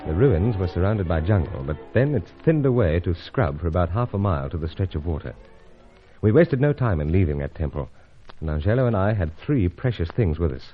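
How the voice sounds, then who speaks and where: A dull, lo-fi radio adventure recording of a British secret agent. The voice is low and husky, swinging widely in pitch. He is breezy, gallant and unflappable, given to old-fashioned understatement. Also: big pitch swings, brisk, faint music behind. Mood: narrating. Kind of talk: old-time radio adventure serial acting.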